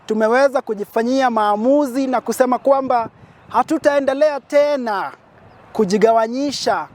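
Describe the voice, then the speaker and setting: A man's voice: very high-pitched, moderate pace, darkish tone. A man from Kenya, giving an impassioned advocacy speech outdoors and building his points through emphatic, repetitive lists. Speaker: petitioner.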